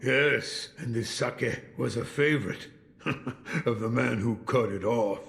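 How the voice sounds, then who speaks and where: An actor playing a weary, gruff old hermit warrior in a game cutscene, gravelly, terse and brooding. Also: male voice, medium pitch, slow, fairly bright tone. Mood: wry, weary. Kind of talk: video game cutscene acting. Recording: long hall reverb.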